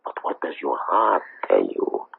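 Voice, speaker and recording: An actor playing a persistent, formal foreign Nazi hunter in a radio drama, coolly probing and insistent. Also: male voice, a bass voice, big pitch swings, measured pace, vocal fry, breathy edge, heavily acted. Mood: pressing, insistent. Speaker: investigator seeking a war criminal's extradition. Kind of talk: 1970s radio drama acting. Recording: tinny, band-limited old radio broadcast.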